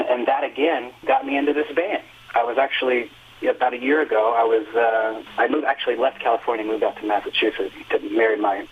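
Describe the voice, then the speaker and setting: A man's voice: mid-range voice, slightly rough. A fifty-year-old man, a singer and music teacher, telling long earnest stories with many fillers in a phone interview. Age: 50s. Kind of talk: relaxed phone interview storytelling. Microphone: telephone. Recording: tinny phone line.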